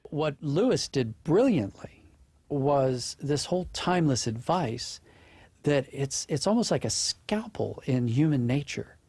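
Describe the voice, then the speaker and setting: Production team member, male, interviewed: creaky voice, dry sound, explanatory delivery. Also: medium pitch, lively intonation, unhurried, darkish tone, no echo. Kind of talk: interview commentary.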